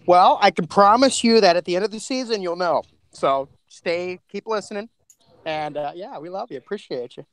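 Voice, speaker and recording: A man's voice: sits high, normal speed, a bit bright, dry recording. A man chatting casually in a group podcast, speaking in an effusive, rambling, informal way.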